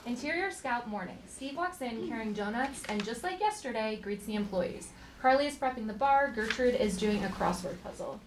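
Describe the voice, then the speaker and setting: Female stage-direction reader for a live comedy table read, higher pitch, roomy echo, noisy background. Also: animated, normal speed, hardly any pauses. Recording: echoey theater, clear background noise.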